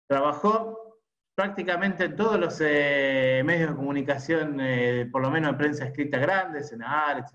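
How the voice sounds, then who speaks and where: A man from Argentina, introducing a guest speaker with a halting, filler-heavy delivery. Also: fairly high pitch, fairly bright tone. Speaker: event host. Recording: lo-fi video call audio.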